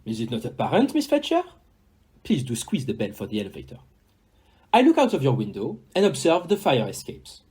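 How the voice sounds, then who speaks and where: A courteous, self-assured detective played by an amateur actor. The delivery is theatrical and precise, with a very animated melody that rises and dips, at a middling pitch. It belongs to an audio mystery play. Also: fairly quick, slightly rough. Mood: explaining, dramatic. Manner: theatrical, courteous, sprinkles in French words.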